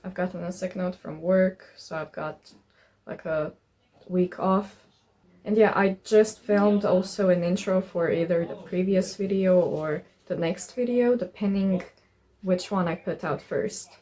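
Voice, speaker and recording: A woman's voice: lowish voice, measured pace, warm tone. A young woman narrating a casual vlog in an informal, sweary way. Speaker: vlogger, artist.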